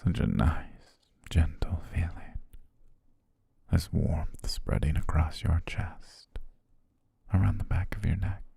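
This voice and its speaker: A man voicing a doting, possessive butler in a gentle, coaxing ASMR roleplay, speaking intimately close to the microphone. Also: expressive, no room sound, exaggerated voice. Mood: soothing, coaxing. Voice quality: very deep, breathy, husky. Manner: slow, gentle, hypnotically coaxing, with pet names. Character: possessive, doting male yandere butler, soothing and controlling. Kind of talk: ASMR roleplay, intimate half-whispered monologue.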